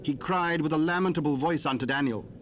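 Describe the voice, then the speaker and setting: A man's voice: on the high side, lo-fi sound. Solemn male bible narrator, tape hiss. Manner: measured, solemn storytelling. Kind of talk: scripted scripture narration for audio drama. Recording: hissy cassette tape rip.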